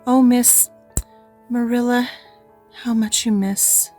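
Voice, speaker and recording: Woman voicing a high, gushing orphan girl. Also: fairly even intonation, takes it slowly, a little mellow, faint music behind. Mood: pleading. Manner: breathless, pleading and effusive. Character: eager, imaginative, talkative orphan girl. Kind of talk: audiobook character reading.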